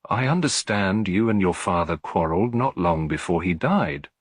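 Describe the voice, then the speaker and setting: Man acting elderly English lady detective, gentle, shrewd, slightly husky, storybook mystery. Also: deep voice, animated, normal speed, no echo. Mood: gently probing. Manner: soft, sympathetic, politely probing.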